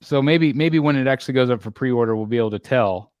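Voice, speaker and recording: A man in his forties hosts a laid-back panel chat, pausing often with ums and restarting his sentences. His voice sits at an ordinary middle pitch. The sound is dry and close, with no room echo. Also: dull audio. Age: 40s. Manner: relaxed, many filler ums and restarts. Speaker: host.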